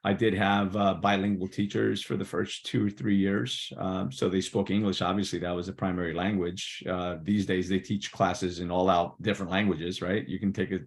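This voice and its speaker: A man's voice: sits low, flat delivery, normal speed, hardly any pauses. A man born in Portugal, Portuguese his first language, telling his life story as a podcast guest, rambling with fillers and restarts. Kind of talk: casual interview storytelling. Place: New Jersey.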